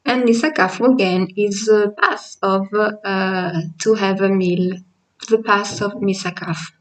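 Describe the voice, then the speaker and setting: A woman's voice: mid-range voice, steady tone, measured pace, far from the mic, low-quality recording. A woman whose first language is Italian, teaching a language lesson online in a patient, explaining way with many fillers.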